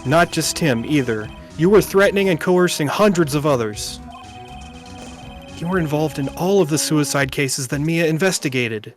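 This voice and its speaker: A man's voice: a little high, lively intonation, moderate pace, close to an everyday voice. A man voicing an earnest, dogged young defense lawyer in an amateur video game dub. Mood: accusing, forceful. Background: game music.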